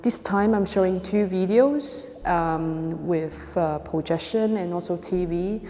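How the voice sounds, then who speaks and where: Mellow woman, Hong Kong accent, reverberant hall. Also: on the low side. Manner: hesitant, halting non-native English. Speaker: video and installation artist. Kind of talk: artist talk presenting an exhibition.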